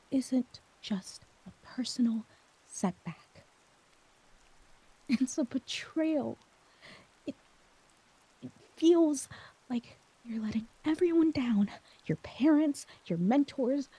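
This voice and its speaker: A woman performing an emotional ASMR monologue as an exhausted, anxious character, speaking softly and haltingly, often near a whisper. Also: high-pitched, breathy edge, heavily acted, low-quality recording. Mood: pained, pleading. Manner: slow, halting, vulnerable delivery. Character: exhausted, anxious woman crushed by others' expectations. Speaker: audio roleplay performer.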